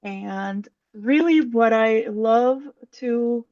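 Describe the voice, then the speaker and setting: A woman coach from Puerto Rico, speaking gently and thoughtfully in a casual chat. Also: a little high, no echo. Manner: slow, gentle, thoughtful with fillers. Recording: dry, dull lo-fi mic.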